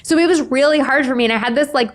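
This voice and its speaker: A middle-aged woman telling stories casually as a podcast guest, chatty and expressive, often voicing remembered dialogue. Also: high-pitched, rapid-fire. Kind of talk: casual interview chat with animated storytelling.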